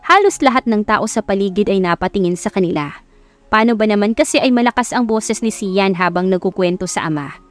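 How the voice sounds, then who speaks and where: A woman with a Filipino accent reading scripted narration for a romance audiobook. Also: mid-range voice. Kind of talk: scripted narration of a Tagalog love story.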